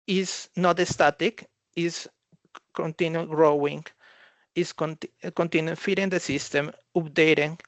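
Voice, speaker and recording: Halting male tech presenter, gravelly voice. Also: fairly high pitch, no room sound. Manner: halting, repeats and rephrases phrases. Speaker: consultant presenting at a conference. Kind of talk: slide presentation talk.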